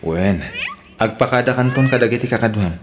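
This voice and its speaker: A man's voice: mid-range voice, brisk, slight background noise, lightly acted. A man with an Ilocano accent acting in a radio drama, playing a forgiving, earnest suitor who works abroad. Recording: radio drama with music underneath.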